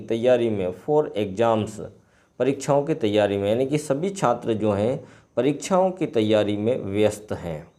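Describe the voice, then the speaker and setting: An Indian man gives a lesson in a soft, mellow voice. His pace is slow and deliberate. As a Hindi-speaking teacher, he reads out phrases, repeats them and then explains them. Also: mid-range voice. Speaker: English teacher. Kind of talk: line-by-line bilingual lesson, reading and translating.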